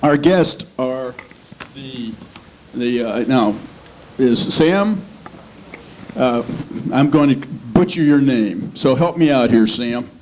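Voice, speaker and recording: An American man moderating a radio panel informally, with a halting delivery. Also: mid-range voice, expressive, darkish tone, slight background noise, rough recording. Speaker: radio show host, moderator. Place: storefront meeting room, live event.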